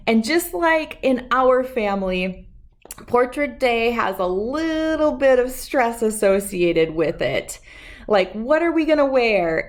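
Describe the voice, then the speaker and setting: A woman reading a storybook aloud for children as host, expressive and speaking to listeners as friends. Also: mid-range voice.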